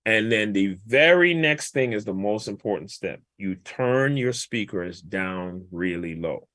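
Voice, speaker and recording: A man's voice: lowish voice, measured pace, no echo. Laid-back man explaining mixing steps. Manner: relaxed, explanatory, colloquial. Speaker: guest mix engineer. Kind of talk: casual walkthrough of a mixing session.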